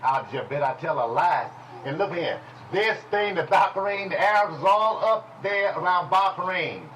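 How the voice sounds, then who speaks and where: A man teaching a congregation in a preaching style, folksy and call-and-response, with speech, dropping at times to low murmurs. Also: expressive, moderate pace, fairly bright tone, a little hiss or hum, rough recording. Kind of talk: preaching Bible lesson. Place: congregation hall.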